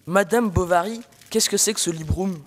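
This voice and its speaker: A man's voice: high-pitched, moderate pace. A teenage man playing a pompous, scornful old village priest who grumbles and declaims. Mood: condescending. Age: teenager, high-school student. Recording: reverberant room, noisy background.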